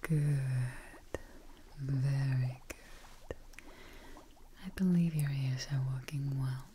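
A young woman whispering softly and soothingly, close to the mic, in an ASMR roleplay as a calm, eerie scientist. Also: rumbling low. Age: young adult. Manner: soft, slow, soothing, hushed close-up whispering. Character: calm, eerie female creature-maker scientist tending her experiment. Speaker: ASMR performer. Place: small dark indoor setup. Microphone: close microphone. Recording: close whisper with clear noise and clicks.

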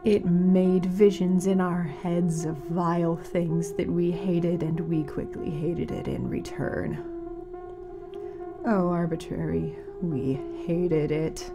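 A woman playing a grave, mournful radio announcer in a fiction podcast, speaking solemnly and dramatically with weighted pauses. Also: on the low side, steady tone, loud music behind the voice. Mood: dark, intense. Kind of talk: scripted fiction-podcast narration as a community radio broadcast.

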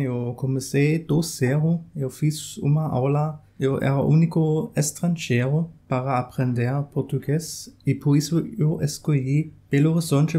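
A man's voice: medium pitch, warm tone. A young man in his twenties with a German accent, speaking Portuguese as a learner with frequent restarts while vlogging to camera in a small room. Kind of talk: casual talk to camera.

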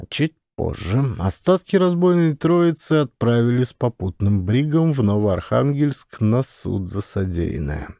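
A man's voice: mid-range voice, warm tone, dry recording, clean studio sound. A man reading a scripted historical adventure story as an audiobook narrator.

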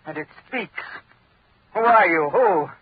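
A man's voice: sits high, lively intonation, slow pace, husky, exaggerated voice. An actor playing a desperate male scientist villain who is terrified of death and pleads in a panic, in a 1940s radio drama on a tinny old radio broadcast.

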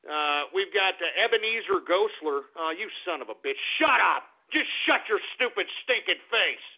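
A man hosting an internet talk radio show, ranting in a loud, profane, exasperated way, with sudden shouted outbursts. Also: high-pitched, expressive, normal speed. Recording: tinny phone-like lo-fi audio.

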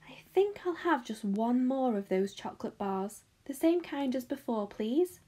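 A woman voicing a poor, polite little boy, quiet and hesitant, in a children's audiobook. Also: fairly high pitch, animated, measured pace. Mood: shy, hopeful. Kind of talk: children's audiobook character acting.

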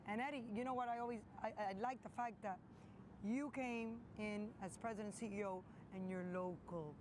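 A woman's voice: medium pitch, steady tone, normal speed, background noise. A middle-aged woman hosting an interview outdoors, effusive and agreeing often, switching between English and Spanish with a Miami flavor. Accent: Miami Spanglish, switching English and Spanish. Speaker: show host. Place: outdoors by palm trees. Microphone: lapel mic.

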